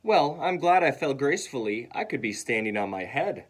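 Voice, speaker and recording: A man voicing a kindly, gracious woman schoolteacher in a children's storybook, gentle and measured. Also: medium pitch, very animated, rough recording. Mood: soothing.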